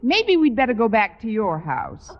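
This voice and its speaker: Lively, wry radio comedy schoolteacher. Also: female voice, fairly high pitch, normal speed. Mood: wry, soothing. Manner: wry, placating. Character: quick-witted wry woman schoolteacher covering up mischief. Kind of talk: 1950s radio situation comedy acting. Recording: noisy muffled old radio recording, studio audience.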